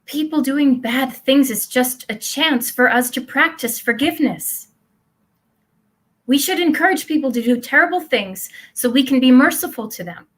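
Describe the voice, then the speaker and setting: A woman's voice: high voice, animated, moderate pace. A woman playing a pious, self-congratulating mother who prays aloud in an earnest, rambling, confiding way in a play reading. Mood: chatty, confiding.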